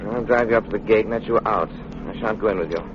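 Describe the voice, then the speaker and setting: Actor as suave detective, noisy muffled recording. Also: male voice, on the low side, lightly acted. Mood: composed, analytical. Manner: cultured, deliberate, assured. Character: suave, composed wealthy young amateur detective, thoughtful. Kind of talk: 1930s radio drama acting. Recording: muffled old radio recording, clear noise.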